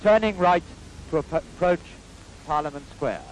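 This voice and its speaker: High-voiced British newsreel man, formal and stately. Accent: British Received Pronunciation. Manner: formal, stately, descriptive delivery in measured phrases. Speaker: newsreel commentator. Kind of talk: 1950s British newsreel commentary. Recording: noisy vintage newsreel soundtrack.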